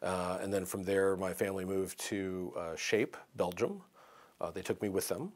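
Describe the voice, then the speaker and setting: A man with a very low, deep voice tells his story in an interview. He talks in a measured way and fills his pauses with "uh." He is a veteran recalling his time in the Army. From United States. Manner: measured, pausing with frequent "uh". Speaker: city communications coordinator and Army veteran. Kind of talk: recorded interview testimony.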